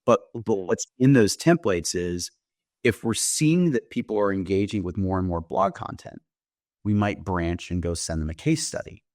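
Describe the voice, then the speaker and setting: A man speaking as a company founder and guest, explaining his product in a conversational sales-pitch style and often checking in with "right?". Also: lively intonation, normal speed, darkish tone, no echo, studio quality. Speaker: company founder demonstrating software, interview guest.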